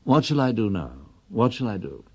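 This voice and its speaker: A man's voice: lowish voice, big pitch swings, moderate pace, dark tone, husky, dry recording, lo-fi sound, voice pushed up. A man voicing a nervous, demanding woman who questions insistently and restlessly in a dramatic poem reading. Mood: distraught.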